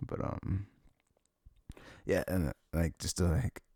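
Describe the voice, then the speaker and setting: A man with a husky, creaky voice rambling informally and haltingly to one listener in an intimate ASMR chat, close to the mic. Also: low voice, warm tone, dry recording. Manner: sniffles habitually. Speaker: ASMR audio performer.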